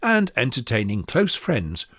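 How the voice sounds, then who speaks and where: A man's voice: mid-range voice, wildly swooping pitch, a little slow, a bit bright, dry recording, clean studio sound. A man reading scripted narration as he introduces poetry.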